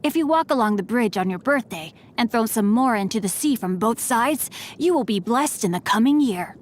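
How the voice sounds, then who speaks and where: A woman voicing a refined, courteous young male swordsman and scholar in a game, speaking politely and formally with a gently teasing edge. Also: fairly high pitch, lively intonation, normal speed, a little hiss or hum, lightly acted. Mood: polite, composed. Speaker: game character voice lines. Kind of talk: scripted game voice lines.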